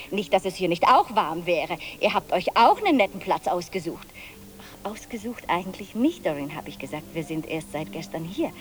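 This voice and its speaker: A woman's voice: medium pitch, a little hiss or hum, natural voice. An actress playing a snobbish, overbearing wife and mother in a radio drama, with a prim and theatrical manner.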